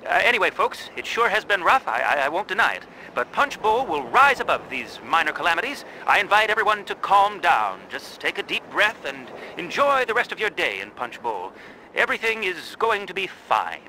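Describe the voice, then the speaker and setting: A bright, high, glib tycoon. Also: male voice, very animated, normal speed, a little hiss or hum, exaggerated voice, poor audio. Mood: falsely reassuring. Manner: glib, falsely reassuring, hesitant stammers. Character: smug, self-absorbed male town tycoon giving speech. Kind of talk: public address in a video game cutscene.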